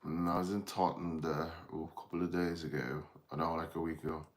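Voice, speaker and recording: Irish guy streaming, deep voice, kinda rambly and distracted, just chatting to chat. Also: flat delivery, fast talker. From Ireland, from Dublin. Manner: rambling, distracted, breaking off to greet viewers. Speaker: livestream host. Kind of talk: casual livestream chat with viewers.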